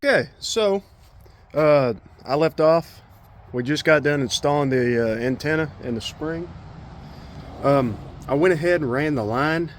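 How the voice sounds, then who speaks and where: A man's voice: a little high, animated, a little slow, slight background noise. A man giving a casual, unscripted hands-on DIY walkthrough, thinking aloud as he goes. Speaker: DIY vlogger. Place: in and around a pickup truck.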